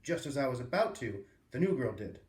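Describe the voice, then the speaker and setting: A man reading a children's book aloud as a storyteller, with expressive, emphatic phrasing. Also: medium pitch, fairly quick. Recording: roomy echo, distant microphone.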